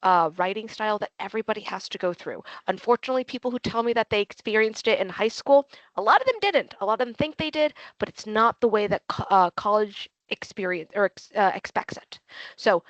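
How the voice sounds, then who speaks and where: A woman's voice: mid-range voice, lively intonation, fairly quick, dry recording, dull audio. A woman academic advisor hosting an online workshop interview, asking long, chatty questions in a friendly way.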